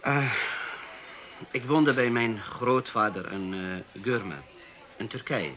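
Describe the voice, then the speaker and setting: A man's voice: mid-range voice, fairly bright tone, low-quality recording. A man playing an earnest young Turkish waiter. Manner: earnest, halting with hesitations. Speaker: Turkish waiter in a police interview. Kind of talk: radio play acting.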